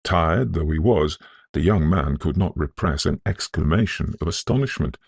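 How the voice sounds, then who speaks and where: Male narrator for Victorian ghost fiction: extremely deep, creaky, scholarly delivery with theatrical, measured phrasing. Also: lively intonation, no echo.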